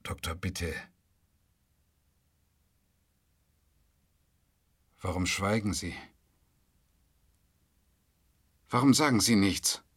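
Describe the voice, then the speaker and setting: Rambling unstable mental patient in a radio drama, very animated pitch, husky, theatrical. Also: male voice, normal speed, dry recording, studio quality. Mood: pleading. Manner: theatrical, rambling, swinging from musing to agitated. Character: unhinged male psychiatric patient confessing to his doctor. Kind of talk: radio play monologue.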